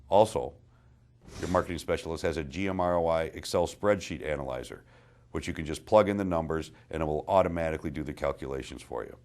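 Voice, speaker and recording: A man reading a scripted presentation in a deliberate, explanatory manner. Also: extremely low pitch.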